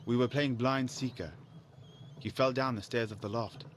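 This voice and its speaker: A man's voice: medium pitch, steady tone, moderate pace, slight background noise, close to an everyday voice. A man playing a princess's young brother in an audio game, protective, conspiratorial and a little mischievous. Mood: caring, sly. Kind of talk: audio game drama acting.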